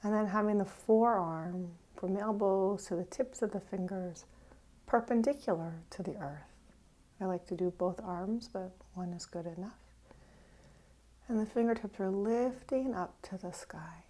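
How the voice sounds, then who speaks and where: A woman meditation teacher leading a guided meditation gently, with pauses, often trailing off into a breathy near-whisper. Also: medium pitch. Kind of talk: guided meditation, unhurried and instructive.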